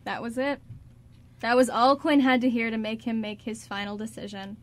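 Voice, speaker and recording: Higher-pitched woman narrating a story. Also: steady tone, moderate pace. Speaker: narrator of a radio drama.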